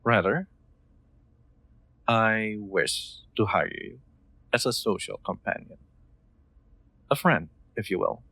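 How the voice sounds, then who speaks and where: A man playing a kind, soft-spoken master in an intimate comfort roleplay, gently reassuring with formal, slightly hesitant phrasing. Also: lowish voice, slow, gravelly, long pauses, breathy edge, dry recording, full character voice. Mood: gentle, earnest.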